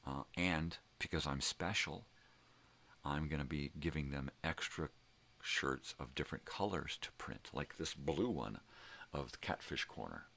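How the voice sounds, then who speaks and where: Older male livestream host: extremely low, creaky voice, folksy off-the-cuff rambling. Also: measured pace, pushed and bright. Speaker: host of a daily livestream show. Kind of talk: casual rambling livestream chat.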